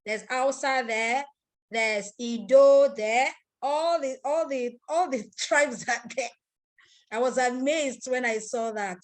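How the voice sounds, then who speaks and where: A Nigerian woman with a somewhat high voice leads a live online tutorial over a computer mic. She talks through each step in turn, repeats key points and breaks off to ask attendees questions. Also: slow. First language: Igbo. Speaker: online class instructor. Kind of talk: live screen-share tutorial.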